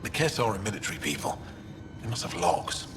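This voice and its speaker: A man's voice: fairly low pitch, big pitch swings, brisk, very noisy. An actor playing a learned, courteous male alien chronicler in a video game, speaking formally and eloquently. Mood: courteous, grave.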